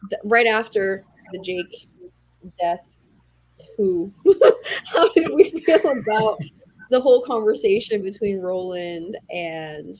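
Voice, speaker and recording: A woman's voice: medium pitch, expressive, takes it slowly, a little mellow, poor audio. A woman co-hosting a book readalong, putting questions to the group in casual conversation. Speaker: readalong co-host. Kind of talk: casual discussion, posing questions. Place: online group call.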